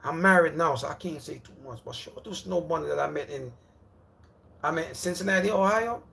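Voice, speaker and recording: A man hosts a casual livestream from home. His voice sits fairly high for a man. He rambles and repeats himself in a loose, friendly way, calling viewers brother and bro. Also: fairly quick, creaky, a bit bright. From Jamaica. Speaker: livestream host.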